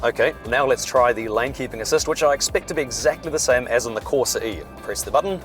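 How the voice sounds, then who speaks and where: A hoarse man, chatting and joking as he reviews a car while driving it. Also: mid-range voice, quick pace, faint music behind. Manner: chatty, dry jokes. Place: inside a moving car.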